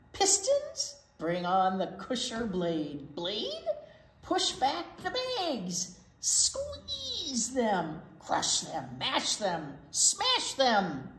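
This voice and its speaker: A brash, hungry storybook garbage truck, acted out by a woman reading aloud. The delivery is theatrical and very animated, with short punchy phrases and drawn-out words. The room is echoey. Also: fairly high pitch, full character voice. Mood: gloating. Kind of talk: picture book read-aloud. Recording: roomy echo, faint room noise.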